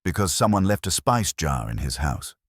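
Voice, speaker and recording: A man's voice: rumbling low, sing-song, normal speed, husky, dry recording, clean studio sound, exaggerated voice. A man voicing a breezy, wisecracking detective's assistant with slangy, wry talk, in an audiobook. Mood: wry, reassuring.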